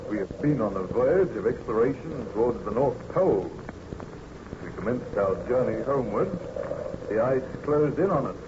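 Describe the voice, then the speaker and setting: An actor playing a steady, courteous ship captain in an old-time radio drama, speaking in a formal, measured, authoritative manner. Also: male voice, mid-range voice, lightly acted. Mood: courteous, commanding. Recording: dull, very noisy old radio recording.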